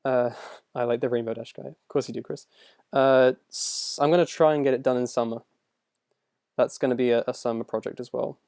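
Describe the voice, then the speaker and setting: A man's voice: medium pitch, fairly even intonation, brisk, darkish tone, clear, resonant tone, dry recording, clean studio sound. A young man casually answering viewer questions on a livestream, rambling and self-deprecating as he goes. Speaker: animator and video creator answering viewer questions.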